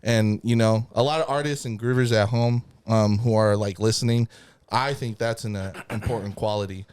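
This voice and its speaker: Smooth-voiced host with halting fillers. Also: male voice, fairly low pitch, steady tone, darkish tone, no room sound. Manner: slow, hesitant, many fillers. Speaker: podcast host. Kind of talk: interview hosting.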